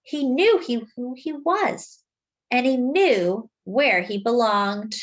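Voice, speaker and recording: A woman reading a children's picture book aloud, with dramatic, deliberate phrasing and drawn-out words. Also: a little high, muffled recording. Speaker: children's story reader.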